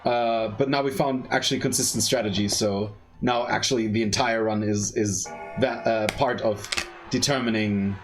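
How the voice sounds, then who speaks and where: A man giving casual live speedrun commentary, explaining in a halting way. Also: on the low side, fairly even intonation, over music. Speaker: speedrunner commentating at a gaming marathon.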